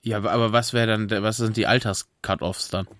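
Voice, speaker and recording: Young man chatting haltingly. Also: on the low side, steady tone, a bit bright, dry recording, studio quality. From Germany (North Rhine-Westphalia). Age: young adult. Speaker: podcast co-host. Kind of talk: casual chat.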